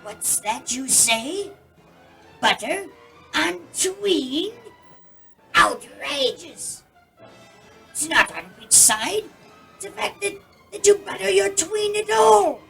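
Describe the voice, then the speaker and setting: A haughty, ridiculous alien councillor in a comic radio play. The voice is high and the delivery pompous and melodramatic, with light music behind it. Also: female voice, sing-song, slow, vocal fry, long pauses, fairly bright tone, heavily acted. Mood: outraged. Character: haughty, indignant, silly alien council member.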